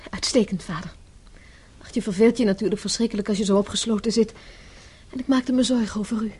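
An actress in a radio play, playing a composed, pragmatic grown daughter who speaks firmly and earnestly. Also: female voice, medium pitch, steady tone, quick pace, warm tone, husky. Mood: reassuring. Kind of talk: radio drama acting.

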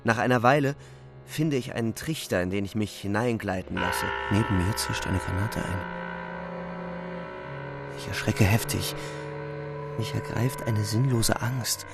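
A young soldier narrating his war in a radio drama. The voice is low and gravelly, subdued yet intense, full of weariness and guilt. Music lies under the words. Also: a little slow, pauses often. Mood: tense. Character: Paul, young German soldier narrator, weary, anxious, guilt-ridden.

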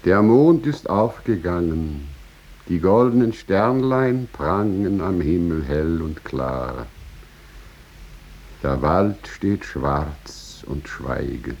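A man reciting poetry in a solemn, dramatic style, measured, with drawn-out pauses and swelling emphasis. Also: low voice, lively intonation, vocal fry, a little mellow, breathy edge, dull audio.

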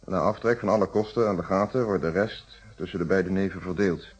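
An actor playing a calm, probing, wry male police inspector in a radio detective play, speaking Netherlands Dutch with polite, measured phrasing. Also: sits low, slightly rough. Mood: wry, explanatory. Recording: dull, muffled radio drama recording.

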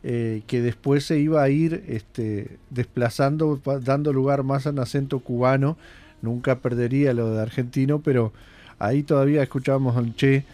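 A man with a mellow, warm tone talks through a historical segment in a loose, conversational way. He often pauses on fillers and restarts his phrases. His pitch sits in the middle range. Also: fairly even intonation. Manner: conversational, halting, many fillers. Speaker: radio host, commentator. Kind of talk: casual spoken commentary.

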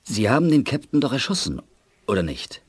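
An actor playing a puzzled, probing military doctor in a German audio drama, husky and deliberate in his questioning. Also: medium pitch, big pitch swings, no echo.

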